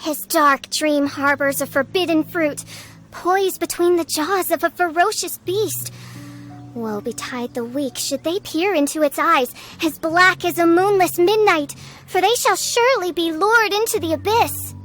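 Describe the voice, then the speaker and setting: A woman's voice: extremely high pitch, measured pace, fairly bright tone, faint music behind. A woman voicing a haughty, theatrical self-styled young princess in a video game, speaking in grandiose, archaic phrases. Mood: ominous, dramatic. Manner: pompous, archaic, grandiloquent speech. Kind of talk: video game character acting.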